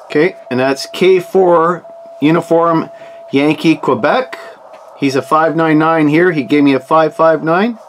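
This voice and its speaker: A man's voice: fairly high pitch, measured pace. A man giving casual commentary as an amateur radio operator demonstrating his gear. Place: indoors at a desk. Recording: clear background noise.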